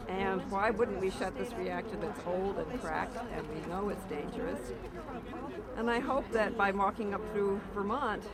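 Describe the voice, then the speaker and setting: A woman's voice: mid-range voice, moderate pace. A woman from Massachusetts speaking earnestly at an outdoor march, pressing her point with rhetorical questions. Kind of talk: on-the-street statement. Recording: loud crowd outdoors.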